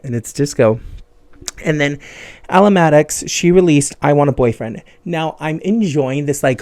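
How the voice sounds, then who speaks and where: A man's voice: mid-range voice, a little mellow, no echo. A man hosting a music podcast in a chatty, enthusiastic way with casual, slangy talk. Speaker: podcast host.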